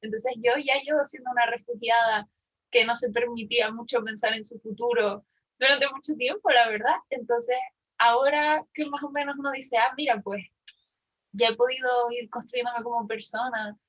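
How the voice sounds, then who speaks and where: A woman's voice: fairly high pitch, fairly even intonation, moderate pace, fairly bright tone, dull audio. A woman in her twenties from Argentina, talking candidly and casually in a personal, reflective way with youthful slang. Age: 20s. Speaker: participant in a group discussion.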